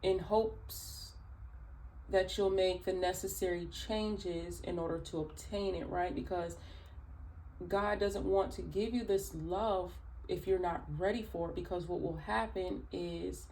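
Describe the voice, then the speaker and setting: A woman with a raspy early-morning voice, chatting through a tarot reading with many fillers and asides. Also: lowish voice, steady tone, measured pace, darkish tone, poor audio. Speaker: tarot reader. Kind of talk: casual spoken tarot reading.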